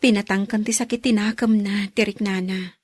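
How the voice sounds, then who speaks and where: Actress as calm Ilocano woman confidante. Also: mid-range voice, normal speed, natural voice. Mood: concerned. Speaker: radio drama actress. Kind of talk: Ilocano radio drama acting.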